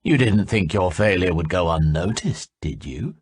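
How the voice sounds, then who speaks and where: A man voicing a smooth, menacing young male envoy who speaks low, level and slow. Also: very animated, no room sound, clean studio sound, full character voice. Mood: silkily menacing.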